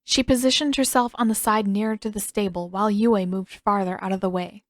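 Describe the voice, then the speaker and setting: A woman's voice: medium pitch, fairly even intonation, a little fast, no echo, studio quality. A woman reading scripted fiction as the narrator of a story. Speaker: podfic narrator and author.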